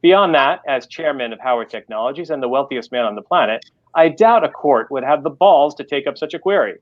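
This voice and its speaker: A man's voice: mid-range voice, lively intonation, brisk, lightly acted. Audiobook AI butler, read by a man: male, refined American, prim, sardonic, slightly lo-fi sound. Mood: dry, insistent. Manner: formal, exacting, sardonic. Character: refined, dry, scolding AI butler, male, looks sixties.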